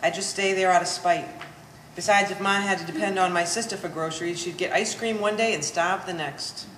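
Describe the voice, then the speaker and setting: A woman's voice: fairly low pitch, steady tone, brisk, a bit bright, close to an everyday voice. A woman playing a bitter, sardonic Polish-American daughter of sixty with pompous vocabulary, in a staged play reading. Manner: dry, pompous big words. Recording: echoey room, faint background noise.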